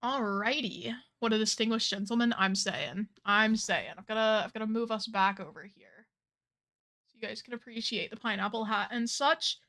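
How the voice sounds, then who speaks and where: Young female streamer, chatty and bubbly, slightly worn voice, soft game music under her. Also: on the high side, moderate pace. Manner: chatty, bubbly, says "like" a lot. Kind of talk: casual game stream chat.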